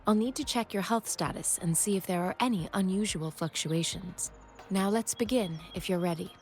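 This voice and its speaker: A woman voicing a calm, analytical young scientist and doctor in a video game, speaking in a measured, clinical way. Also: mid-range voice, soft background music, close to an everyday voice. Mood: composed. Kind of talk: English video game voice acting.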